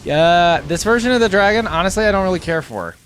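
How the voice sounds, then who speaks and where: A man's voice: sits high, animated, fast talker, background noise. A man giving casual reaction commentary as a video host, musing aloud in a rambling way.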